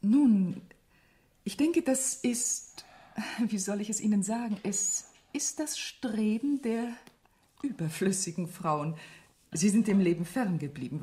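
An actress playing a worldly, teasing society beauty, poised and ironic, in a German radio play. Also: female voice, medium pitch, mellow, close to an everyday voice. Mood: disdainful, amused.

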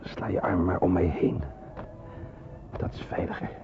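A man's voice: low-pitched, flat delivery, a little slow, over music. An actor playing a sturdy, courteous policeman who secretly helps fugitives, in a radio drama. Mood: hushed, helpful. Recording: dull, noisy old radio play recording.

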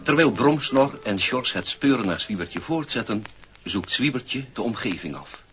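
A man's voice: mid-range voice, lively intonation, normal speed, over loud music. A man with a Dutch accent giving theatrical, suspenseful scripted narration for a 1960s radio play. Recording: very noisy, dull old vinyl record.

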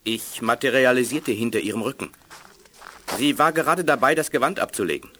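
Polite, unflappable young-hero helper, mid-pitched. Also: male voice, expressive, a little fast, sharp tone. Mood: apologetic, puzzled. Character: polite, earnest, unflappable male teleporting mutant, loyal helper. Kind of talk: 1980s German radio play acting.

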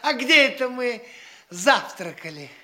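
A vain young fop in a classic radio comedy. His voice is very high and lively in pitch, and he speaks slowly with airy, affected self-satisfaction. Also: full character voice. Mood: contented, airy. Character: foppish, vain, carefree young gentleman, freeloading braggart. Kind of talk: classic Russian stage-comedy radio acting.

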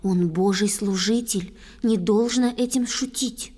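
A woman's voice: mid-range voice, a little slow, dark tone, close to an everyday voice. An actress playing a bold, capricious young water-spirit girl, speaking dramatic verse in a children's audio play. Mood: solemn.

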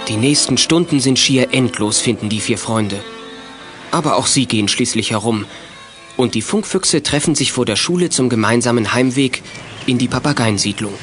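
A man's voice: medium pitch, normal speed, a bit bright, soft background music. A man narrating a children's audio drama as scripted storytelling.

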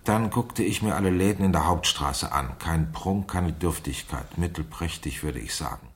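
An actor playing a wry, deliberate investigator in a German radio crime drama. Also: male voice, low voice, expressive. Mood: reading, dry. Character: wry investigator reading aloud a girl's diary.

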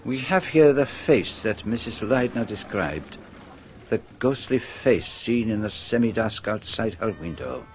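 A man's voice: fairly low pitch, animated, measured pace, a little hiss or hum. A man playing a courteous, inquisitive detective with a French-speaking European accent and formal, quaint phrasing in a radio drama. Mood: measured, curious.

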